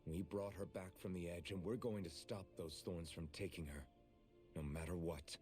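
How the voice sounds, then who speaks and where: An actor playing a steady, caring young male swordsman in a video game, with a calm, reflective manner. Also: low voice, normal speed, lightly acted. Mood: reassuring, resolute. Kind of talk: video game English voice acting. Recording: music behind the voice. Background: game music.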